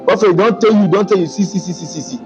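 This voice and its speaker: A male talk show host with a Nigerian Pidgin accent, animated and exclaiming often as he chats with a guest in English and Pidgin. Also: sits high, fast, music in the background. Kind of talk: casual interview chat.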